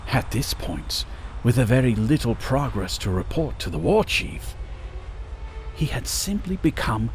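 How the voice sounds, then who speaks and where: A man's voice: mid-range voice, big pitch swings, measured pace. A man narrating a scripted fantasy story as a dramatic narrator. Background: music.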